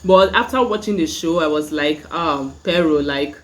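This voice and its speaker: A woman's voice: low voice, normal speed, rough recording. A young Nigerian woman with a Nigerian accent, chatting casually in a review vlog, full of "like" and "you know" fillers. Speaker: YouTube reviewer.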